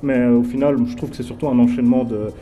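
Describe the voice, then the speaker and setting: A man's voice: mid-range voice, flat delivery, fast talker, dull audio. A man from Belgium, giving a blunt, slangy film review as a guest at a festival. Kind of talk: casual film review chat.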